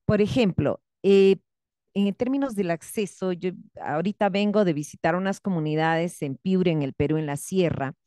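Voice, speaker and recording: A woman's voice: on the low side, normal speed, dark tone, no echo, studio quality. A woman from Peru with a Peruvian accent, raising concrete problems directly as a seminar audience member. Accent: Peruvian Spanish. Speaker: audience questioner.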